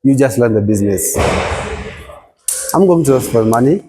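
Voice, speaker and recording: A man's voice: mid-range voice, animated, normal speed, warm tone, slight background noise. A man interviewing a guest, asking casual questions and adding short agreeing murmurs.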